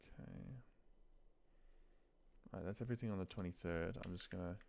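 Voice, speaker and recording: A man's voice: low voice, fairly quick, gravelly, a little mellow, a little hiss or hum. A man talking through a screen recording informally, thinking aloud and muttering to himself in an offhand way. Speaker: office worker recording a spreadsheet walkthrough.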